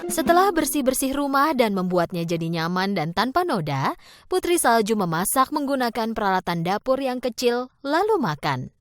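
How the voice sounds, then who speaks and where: A woman narrating a children's fairy tale from a script, in an expressive storytelling manner. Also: fairly high pitch, normal speed, soft background music.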